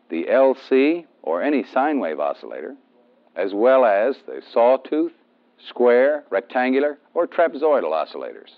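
An American man giving methodical instructional narration as a training film instructor, stressing key terms. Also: mid-range voice. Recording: dull, band-limited 1970s film soundtrack.

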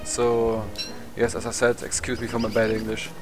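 A man's voice: mid-range voice, completely flat delivery, normal speed. A young man with a German accent, a non-native English speaker, casually commentating his gameplay, rambling, swearing and laughing at himself. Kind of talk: casual let's play commentary. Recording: game music behind the voice.

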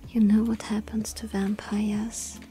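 A woman voicing a clingy, lovestruck young female vampire in an ASMR roleplay, whispering softly throughout. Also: mid-range voice, little pitch movement, slow pace, faint music behind, lightly acted. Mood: tender whisper.

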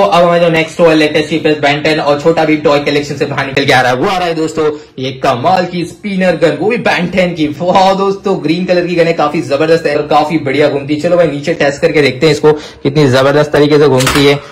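A man hosting a toy showcase, talking to viewers with excitable, repetitive exclamations. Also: fairly high pitch, fast, hardly any pauses. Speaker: toy review video host. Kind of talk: enthusiastic toy showcase commentary addressed to viewers. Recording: clear background noise with clicks.

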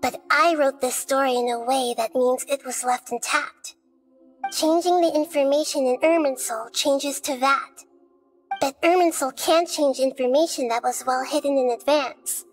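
A woman voicing a small, childlike female deity in a video game, gentle, wise and composed, speaking softly in measured, thoughtful phrases. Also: sits high, fairly even intonation, lightly acted. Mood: calm, thoughtful. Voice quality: soft, girlish. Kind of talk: video game dialogue and fairy-tale narration. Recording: music under the voice. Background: music.

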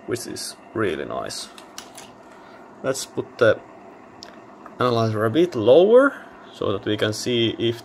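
A man talks through a technical demo at a workbench in a casual, matter-of-fact way. His delivery is slow and halting, as if thinking aloud, at an average male pitch. A light layer of noise sits behind him on the handheld recording. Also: gravelly. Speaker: electronics hobbyist presenter. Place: indoor electronics workbench.

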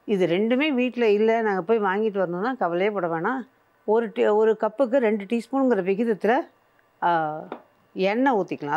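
A woman's voice: mid-range voice, darkish tone, highs cut off. An older woman presenting a cooking show, patiently explaining each step as she works in a studio kitchen.

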